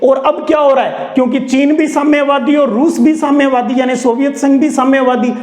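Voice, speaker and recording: A man's voice: extremely high pitch, steady tone, brisk, darkish tone. A man teaching like a storyteller, conversational and explanatory, asking questions and answering them himself. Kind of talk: storytelling classroom lecture. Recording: echoey room.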